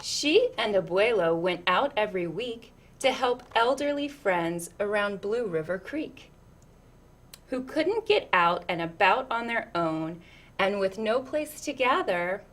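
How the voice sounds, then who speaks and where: Female storyteller for children's read-aloud, slightly high register, very slow delivery, expressive and conversational with the listener. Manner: animated, engaging listeners with questions and asides. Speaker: storyteller reading a picture book to children.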